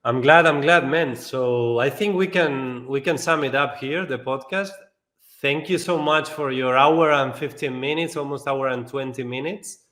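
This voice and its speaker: A man's voice: mid-range voice, unhurried, fairly bright tone. A man from northern Spain, a native Spanish speaker with a Spanish accent, chatting casually as a podcast host and often repeating short affirmations. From Basque Country, northern Spain. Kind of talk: casual interview chat.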